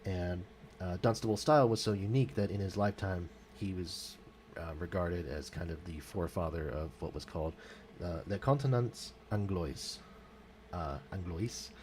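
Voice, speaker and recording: A man's voice: sits low, normal speed, darkish tone, a little hiss or hum. A man talking casually about music history for his channel, with frequent ums and self-corrections. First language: English. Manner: hesitant, many fillers and self-corrections. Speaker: music vlogger. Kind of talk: casual spoken music commentary.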